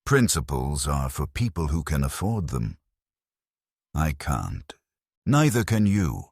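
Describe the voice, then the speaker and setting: Man acting out a cynical male laborer, resigned, blunt, very deep husky voice, sci-fi audio story. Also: big pitch swings, slow, darkish tone, dry recording, clean studio sound, exaggerated voice. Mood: bitter resignation.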